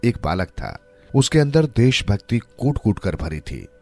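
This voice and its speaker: A man with a low-pitched voice tells moral stories from a script. His delivery is measured and expressive, with lively swings in intonation, and faint music plays underneath. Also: gravelly. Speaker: storyteller narrator. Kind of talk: scripted narration of moral tales.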